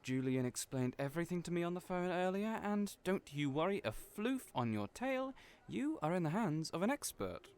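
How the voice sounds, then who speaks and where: A flamboyant hairstylist, put on by a man for an audio roleplay, with a voice pitched noticeably higher than the performer's own. His delivery swoops up and down, and he is fussy and playful, always teasing. He fusses over his client like a doting parent. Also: very animated, normal speed, a little mellow, clear, resonant tone.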